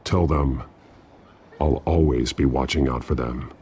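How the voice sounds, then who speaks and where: An actor playing a grim, resolute masked vigilante hero in a video game, speaking tersely and solemnly. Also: male voice, a bass voice, steady tone, a little slow, a little mellow, a little hiss or hum. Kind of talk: video game dramatic acting.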